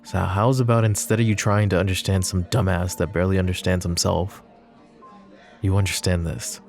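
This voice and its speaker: A man voicing a possessive, flirtatious mafia boss in an ASMR roleplay drama, with a low, soft, teasing drawl. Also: little pitch movement, normal speed, gravelly, faint music behind. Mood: coaxing. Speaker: voice actor.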